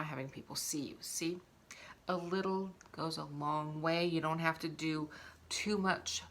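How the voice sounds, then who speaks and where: Bright-voiced woman giving a makeup tutorial. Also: low voice, a little hiss or hum. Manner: instructional, talking while demonstrating. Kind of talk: casual step-by-step makeup tutorial.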